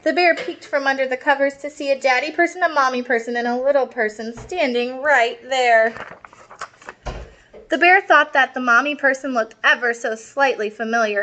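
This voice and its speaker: High-voiced woman reading a children's storybook. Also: slight background noise. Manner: expressive, explanatory, asks listeners questions. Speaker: storybook reader. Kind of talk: children's picture-book read-aloud with asides to young listeners.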